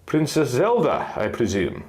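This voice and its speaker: A man voicing a noble male griffin high lord who speaks in a formal, stately manner. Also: wildly swooping pitch, measured pace, darkish tone. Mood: knowing.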